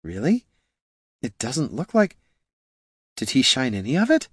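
A man's voice: mid-range voice, huge pitch swings, unhurried, a bit bright, husky, no echo, studio quality, voice pushed up, heavily acted. A man voicing a drunken female elf ranger for an audiobook, slurred, rambling and woozily insistent. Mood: bleary, musing.

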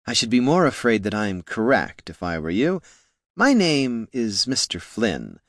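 A man voicing a smug, formal gnome mercenary commander with measured, polite menace in an audiobook. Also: mid-range voice, big pitch swings, fairly bright tone, no echo, studio quality. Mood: smug, menacing.

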